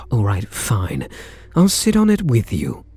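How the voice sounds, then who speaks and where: A British man playing a charming, teasing kidnapper who is softening toward his captive, in an intimate ASMR roleplay. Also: on the low side, wildly swooping pitch, slow, husky, full character voice. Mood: grudging, exasperated. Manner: teasing, flirtatious, murmuring pet names.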